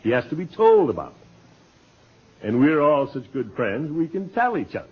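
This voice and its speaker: An actor playing a pompous, self-important middle-aged club leader with a chuckle in 1940s radio comedy. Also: male voice, on the high side, very animated, normal speed, slightly rough. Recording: muffled old 1940s radio recording.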